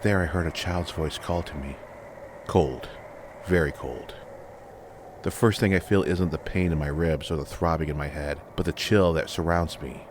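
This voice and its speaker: A man with a low, deep voice narrates a fantasy tale in the first person. His delivery is measured and dramatic, built on deliberate phrases. A little noise and ambience runs under the recording. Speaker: audiobook narrator.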